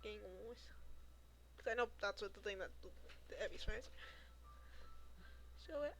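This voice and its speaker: A woman's voice: mid-range voice, a little slow, a little hiss or hum. A woman chatting casually as she plays a game on a livestream. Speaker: gamer on a livestream. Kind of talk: casual gaming chat.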